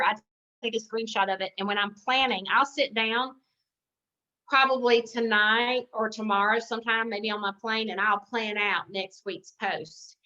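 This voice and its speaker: A woman with a rather high, forceful and bright voice leads an informal sales training over a conference call. She rambles in a chatty way and thinks aloud as she goes. The audio is slightly dull and lo-fi. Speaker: sales director training consultants. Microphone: conference call line.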